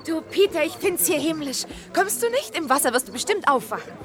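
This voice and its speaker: Bubbly, high-pitched young wife. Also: female voice, big pitch swings, a little fast. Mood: coaxing. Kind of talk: German science fiction radio play. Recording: radio drama, party crowd and music behind.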